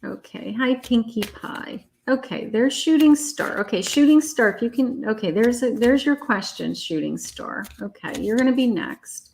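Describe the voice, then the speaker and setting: A woman's voice: on the high side, lively intonation, moderate pace, creaky, few pauses, darkish tone, a little hiss or hum, low-quality recording. Chatty woman reading tarot live. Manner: chatty and reassuring, calls viewers honey and sweetheart. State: losing her voice. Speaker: psychic tarot reader.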